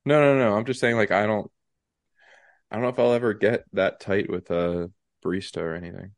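A young man with a stuffy, congested nose co-hosting a casual podcast chat, halting with many ums and restarts. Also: lowish voice, steady tone, vocal fry, no room sound. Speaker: podcast co-host.

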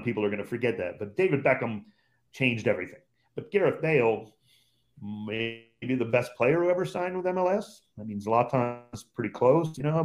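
A man who calls games on the radio, a guest on a podcast chat, talking in an emphatic, opinionated way and repeating points for stress. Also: mid-range voice.

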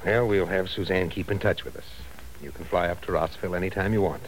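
Man voicing a tender teasing young fiancé, very deep voice, faint old-radio hiss. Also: fast talker, lightly acted, poor audio. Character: young man Steve, a gentle reassuring fiancé. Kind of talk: 1940s radio acting.

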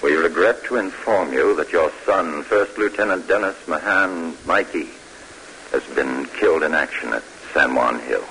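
An actor playing a grave army colonel in an old-time radio drama, on a vintage recording. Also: male voice, a bass voice, moderate pace, pushed and bright, a little hiss or hum, rough recording. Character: grave army colonel reading bad news.

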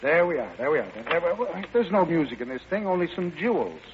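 On a muffled, noisy old radio recording, a suave gentleman teases and woos. His voice sits a little high, with the urbane, lightly theatrical polish of vintage radio romance. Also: sing-song, fairly quick. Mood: teasing. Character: suave, playful romantic gentleman wooing in Paris. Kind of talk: 1940s-style radio play acting.

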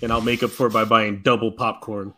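A man's voice: on the low side, level tone, brisk, bright, forceful tone. A man co-hosting a podcast in casual banter with friends. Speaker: podcast co-host.

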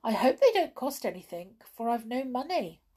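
A woman's voice: a little high, big pitch swings, normal speed, warm tone, voice pushed up. A woman voicing a cheerful, polite male sailor doll from a children's storybook. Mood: hopeful. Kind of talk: children's storybook read aloud.

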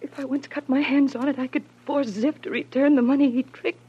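An actress playing a frightened young woman in a classic radio thriller, halting and pleading in manner. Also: high-pitched, fairly even intonation, close to an everyday voice. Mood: faltering confession. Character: frightened young woman clinging to tough detective. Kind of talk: classic radio drama acting. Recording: muffled, noisy old radio recording.

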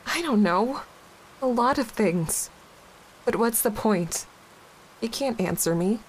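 A woman acting a grieving, guilt-ridden young widowed mother in an audio drama, halting and emotionally raw. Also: on the high side, animated, rough recording. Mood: frustrated grief. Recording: clear background hiss.

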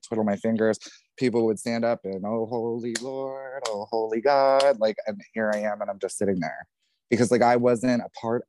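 A man from New Jersey with a New Jersey accent, a podcast guest telling his story candidly, outspoken and animated, swearing freely. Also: fairly low pitch. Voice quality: says he has a feminine voice.

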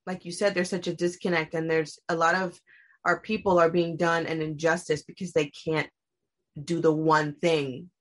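A woman's voice: deep voice, level tone, normal speed. A woman podcast host interviewing a guest in a casual way, praising her with eager slang and plenty of fillers.

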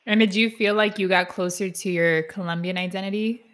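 A woman's voice: medium pitch, fairly even intonation, a little fast, fairly bright tone. A woman host from San Diego in a casual interview, chatty and laughing through her own anecdotes. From San Diego, USA. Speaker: podcast host.

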